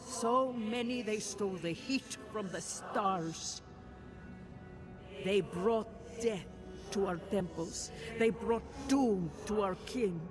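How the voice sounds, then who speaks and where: An ancient guardian spirit from a video game, acted out by a performer, speaks with solemn formality. The delivery is very slow and measured, mid-pitched, with prominent music underneath. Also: close to an everyday voice. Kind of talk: scripted dramatic game narration. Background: clear background music.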